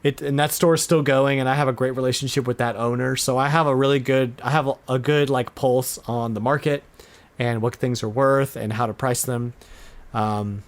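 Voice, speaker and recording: A man's voice: medium pitch, fairly even intonation, normal speed. A middle-aged man chatting casually as the host of a podcast. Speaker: podcast host and retro game store owner.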